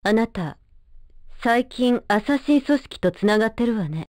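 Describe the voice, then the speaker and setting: A cool-headed female fighter played by a woman from an anime fighting game. She is wary, pointed and quietly disdainful, with a composed delivery in a dry studio recording. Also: a little high, animated, moderate pace, no echo, close to an everyday voice. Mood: cool, probing.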